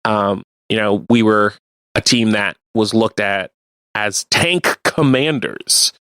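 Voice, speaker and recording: A man co-hosting a radio show, chatting casually about sports and explaining things in a halting way. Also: on the low side, a bit bright, no room sound. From Canada. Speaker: radio co-host.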